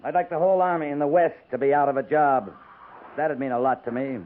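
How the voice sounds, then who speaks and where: An actor playing a weary, principled cavalry captain in 1950s radio drama, clipped and grave in delivery. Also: male voice, fairly high pitch, steady tone, fast, lightly acted. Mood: earnest. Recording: muffled, narrow-band 1950s radio recording.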